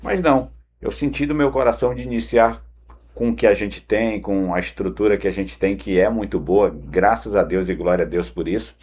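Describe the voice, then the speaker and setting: Low husky man, morning devotional host. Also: steady tone, moderate pace, darkish tone. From Pará, northern Brazil. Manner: earnest, direct address with frequent "né" tags. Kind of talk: conversational morning devotional talk. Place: home studio.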